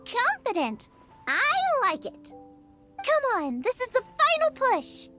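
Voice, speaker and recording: Super high cheery anime game girl chef, really animated, all passion. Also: takes it slowly, over loud music, heavily acted. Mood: encouraging. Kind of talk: anime video game voice acting.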